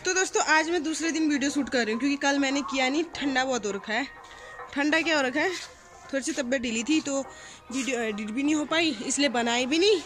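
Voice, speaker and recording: A woman's voice: high-pitched, fast, fairly bright tone, faint music behind. A young woman from the northern Indian hills, chatting casually to her phone camera outdoors as a home vlogger. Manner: chatty, homely, addresses viewers as friends. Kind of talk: casual vlog talk to camera. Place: rooftop outdoors in hill town. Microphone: phone camera at arm's length.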